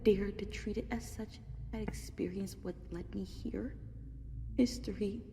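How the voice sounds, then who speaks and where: A woman playing a traumatized young adult pony survivor in a horror audio story, narrating gravely with breathy, drawn-out phrasing. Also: medium pitch, fairly even intonation, a little hiss or hum. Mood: grave, ominous. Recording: long reverb, loud background ambience.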